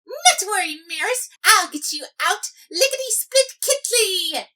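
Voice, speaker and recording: A woman voicing an excitable, bubbly young female pony in a cartoon comic dub, chirpy and gushing. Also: very high voice, measured pace, full character voice. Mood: cheery. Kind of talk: animated comic dub voice acting.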